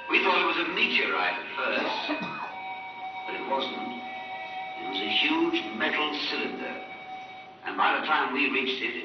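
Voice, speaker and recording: A grave, haunted British man of science telling his story in a radio drama. His delivery is deliberate and suspenseful, rising and falling widely. The sound is dull and very echoey, replayed at a distance with music behind it. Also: medium pitch. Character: male scientist narrator, haunted, gravely recalling a Martian invasion. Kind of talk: dramatic first-person radio drama narration.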